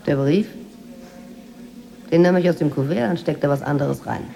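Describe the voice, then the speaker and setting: An actress playing a secretive, possessive housemaid in a radio drama, speaking in a guarded, quietly insistent way. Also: female voice, low-pitched, normal speed, vocal fry, faint music behind. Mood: defiant, possessive. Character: secretive, possessive Moroccan housemaid, obsessed with her mistress.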